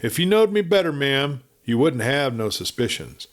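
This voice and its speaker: A man voicing a hungry cowboy drifter in a western story, folksy, ingratiating and slyly flattering. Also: mid-range voice, normal speed, voice pushed up, lightly acted. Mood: smooth, ingratiating.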